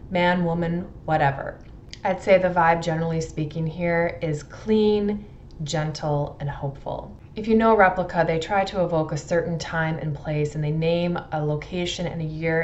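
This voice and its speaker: A middle-aged woman talks through a review in a fairly low voice. She is measured and thoughtful, leaning on her descriptive words as she speaks casually to camera. The indoor recording sounds a little muffled. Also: darkish tone, a little hiss or hum. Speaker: fragrance reviewer. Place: indoors in a furnished room at home.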